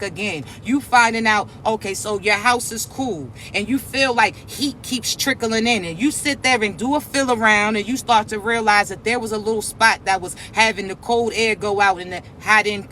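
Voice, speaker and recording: A man with a raspy voice, giving a casual spiritual message reading to his viewers with soothing, repetitive check-ins. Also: high voice, sharp tone, slight background noise. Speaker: spiritual reader.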